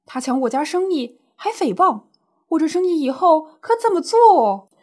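A woman plays an older small-business auntie in a radio drama, with a high voice that rises and falls widely. She grumbles and exclaims in homely, colloquial speech, plaintive and indignant at being wronged. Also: sing-song, normal speed, a little mellow. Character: distressed, indignant older woman running a small snack shop.

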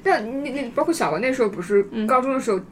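Animated, fast-talking woman in group chat. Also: high voice, slight background noise. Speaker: podcast co-host.

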